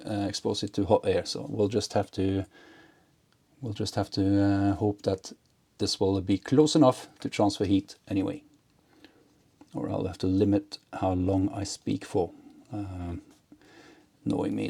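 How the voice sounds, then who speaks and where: A man's voice: lowish voice, gravelly, a little mellow, slightly rough. A man giving a casual hands-on demonstration, thinking aloud hesitantly as he works. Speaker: host of an electronics and ham radio build video.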